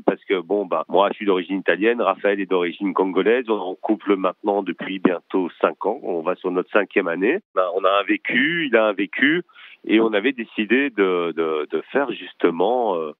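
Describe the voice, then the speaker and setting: A man who directs a documentary, talking as a guest with eager, halting restarts. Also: lowish voice, dry recording. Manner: eager, many restarts and hesitations. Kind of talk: remote interview conversation. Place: home in Brussels. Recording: dry tinny phone line.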